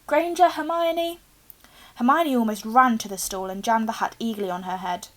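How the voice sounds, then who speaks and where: A young woman reads a storybook aloud in an expressive narrating style. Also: medium pitch, brisk. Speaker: reader, teacher. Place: small room indoors with bookshelves.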